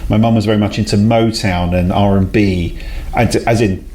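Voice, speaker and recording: Chatty low-voiced man. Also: fairly even intonation, normal speed, dark tone, slight background noise. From South London, England. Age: 40s. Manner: chatty, self-deprecating, laughs often. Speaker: guest, head of a talent company. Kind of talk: casual interview chat.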